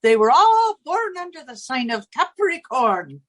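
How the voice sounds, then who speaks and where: A garrulous Irish landlady in an old-time radio comedy. Her very high voice bobs up and down with a folksy lilt, demanding yet soft-hearted. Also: sing-song, measured pace. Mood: chatty. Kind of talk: 1940s radio comedy acting.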